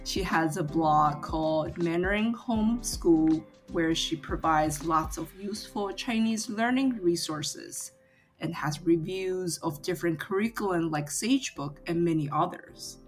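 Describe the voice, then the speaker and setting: Woman, podcast host, lowish pitch, smooth reading, music bed behind. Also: unhurried. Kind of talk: scripted introduction then interview.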